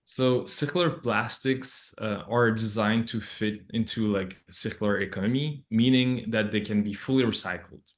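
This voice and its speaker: A man giving explanatory interview answers as a scientist, in halting, hesitant phrasing. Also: on the low side, steady tone. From Belgium. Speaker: project scientist, interview guest.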